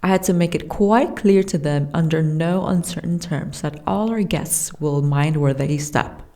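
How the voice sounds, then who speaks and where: A woman playing a gentle, refined, weary giantess princess in a fantasy ASMR roleplay, with soft, genteel, old-fashioned diction. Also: low-pitched, unhurried. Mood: stately, reflective.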